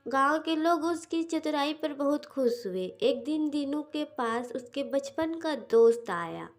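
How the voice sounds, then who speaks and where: Slow, high-voiced woman narrating a story. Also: animated. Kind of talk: scripted children's story narration. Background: music.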